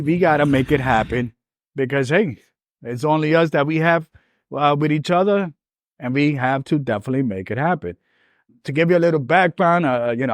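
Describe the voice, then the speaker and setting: A man from Puerto Rico, hosting a podcast in a loose, emphatic, street-casual way at an outdoor street festival. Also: fairly high pitch. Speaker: podcast host. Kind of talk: casual solo podcast monologue. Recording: faint festival music in the background. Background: faint music.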